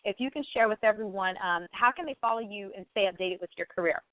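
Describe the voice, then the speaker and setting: A woman's voice: medium pitch, fast, dry recording, narrow, tinny audio. A woman hosting a radio talk show, speaking in an effusive, complimentary manner.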